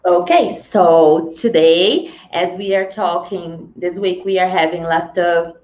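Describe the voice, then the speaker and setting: A woman's voice: on the low side, slow, darkish tone. A woman co-host, upbeat and laughing often while introducing a guest. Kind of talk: live show hosting. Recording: echoey, dull video call.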